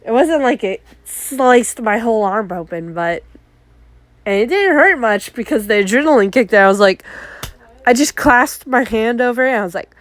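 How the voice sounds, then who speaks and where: Congested woman vlogger, bright-ish pitch, animated storyteller, dead-dry close recording. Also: moderate pace, no room sound. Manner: chatty, animated, acts out her own exclamations. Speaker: art vlogger. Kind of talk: casual story time.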